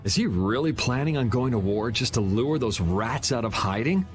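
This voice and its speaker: A man's voice: mid-range voice, animated, normal speed, natural voice. An actor playing a cool, sardonic young swordsman in a video game, talking in a dry, casual way. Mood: casual, wry. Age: young adult. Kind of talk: dramatic video game voice acting. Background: background music.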